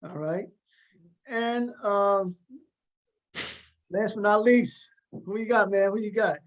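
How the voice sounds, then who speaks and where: High-pitched male talk show host. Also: slow, poor audio. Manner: informal, repeats phrases. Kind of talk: casual interviewing.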